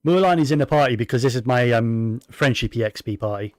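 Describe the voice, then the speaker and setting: A man's voice: medium pitch, fairly quick, no echo. A man giving casual, rambling commentary while playing a video game, with plenty of fillers and restarts. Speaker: gaming streamer.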